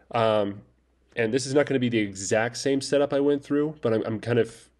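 A man casually walking through a network setup tutorial, talking in a relaxed, halting way with many fillers and restarts. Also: lowish voice. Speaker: tutorial presenter. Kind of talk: casual screen-recorded tech tutorial. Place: voice-over over screen recording.

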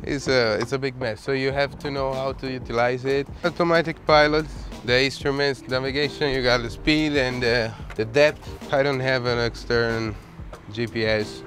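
A man in his thirties with an Italian accent, a sailboat owner showing his boat in a casual tour, talking haltingly and searching for English words. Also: medium pitch, steady tone, fairly bright tone. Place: outdoors on a sailboat deck and cabin. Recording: background music.

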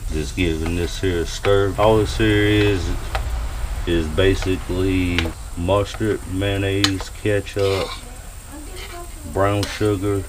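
A man with a deep, low voice talks in an unhurried drawl, telling viewers what he is doing as he cooks. He is outdoors at a grill, caught by a camera mounted above it. The outdoor ambience and noise come through clearly. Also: level tone. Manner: laid-back, drawling, talks to viewers as y'all. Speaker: home cook vlogger. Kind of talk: casual cooking vlog. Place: outdoors at a grill beside a house. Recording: noisy outdoor camera audio.

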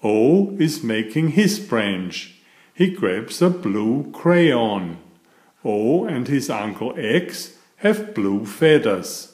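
Slow-paced man reading a children's storybook. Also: mid-range voice, very animated, a little mellow. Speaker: storyteller and channel host. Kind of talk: read-aloud children's storybook narration. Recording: roomy, echoing room sound.

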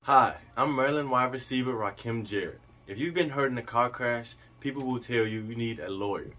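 A man's voice: mid-range voice, a little fast, fairly bright tone. A young man reading a scripted advertisement in a stiff manner. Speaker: ad spokesman. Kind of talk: scripted ad read.

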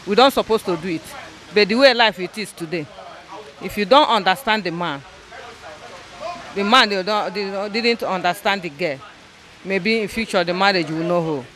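A young woman answering a street interview outdoors into a handheld mic, earnest and halting, repeating her points. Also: mid-range voice, fairly bright tone, rough recording. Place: outdoors on a roadside. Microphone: handheld mic held by interviewer.

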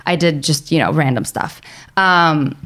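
A woman's voice: low-pitched, a little slow, a bit bright. A woman in her thirties from Bulgaria, a filmmaker guest chatting casually in an interview and laughing easily.

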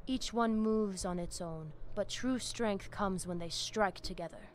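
A woman's voice: medium pitch, a little slow, a little hiss or hum, close to an everyday voice. A woman playing a stern vampire gang boss in a video game, firm and menacing. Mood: proud, commanding. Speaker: vampire gang leader character. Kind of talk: scripted video game dialogue.

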